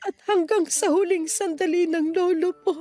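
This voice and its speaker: A woman playing a frail, ailing grandmother in a radio drama, speaking gently and wearily with sorrow. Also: extremely high pitch, steady tone, normal speed. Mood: tearful, grieving. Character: frail, ailing grandmother near seventy, tender and resigned. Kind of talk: Tagalog radio drama acting. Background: background music.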